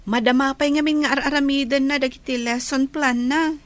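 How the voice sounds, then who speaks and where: A woman plays a young, devoted sister in an audio drama. Her voice is high and girlish, with an Ilocano accent. She talks respectfully and attentively. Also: steady tone, fairly quick, natural voice.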